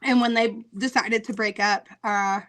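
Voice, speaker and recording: A woman's voice: fairly high pitch, steady tone, fairly bright tone. Laughing, chatty woman singer. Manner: chatty, laughs often, many "um" pauses. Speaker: singer, interview guest. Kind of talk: casual interview chat.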